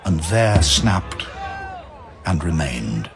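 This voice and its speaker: Male narrator, lowish pitch, very animated intonation, grand theatrical verse storytelling, music underneath. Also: extremely slow, crowd noise. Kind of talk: dramatic scripted narration of epic verse.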